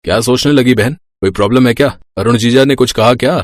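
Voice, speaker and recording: A man's voice: mid-range voice, fast, no room sound, clean studio sound, lightly acted. A man voicing a polite, concerned young brother in a cartoon.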